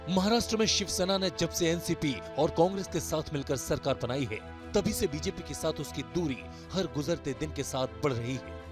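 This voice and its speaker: High-voiced man narrating news over music. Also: expressive, normal speed. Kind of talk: scripted dramatic news voice-over. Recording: voice-over laid over loud music.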